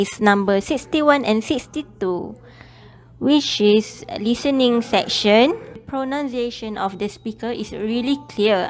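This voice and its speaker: A young woman from Malaysia, a university student whose first language is Malay, giving measured interview answers in English with a Malaysian accent. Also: on the high side. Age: young adult.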